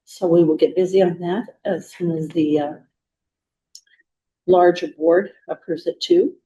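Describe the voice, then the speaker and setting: Hoarse female staffer presenting finances, plain-spoken, mellow tone, dull lo-fi recording. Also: on the low side, steady tone, a little slow. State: hoarse, froggy throat with coughs. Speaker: staff member giving a financial report. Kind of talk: meeting presentation.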